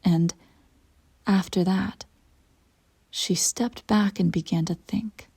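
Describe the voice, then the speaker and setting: A woman playing a ruthless, self-satisfied immortal evil empress in a scripted roleplay monologue, telling her tale in a haughty, intimate, theatrical way with a soft, husky, breathy voice. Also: medium pitch, fairly even intonation. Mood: measured, musing. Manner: deliberate dramatic storytelling, haughty and intimate.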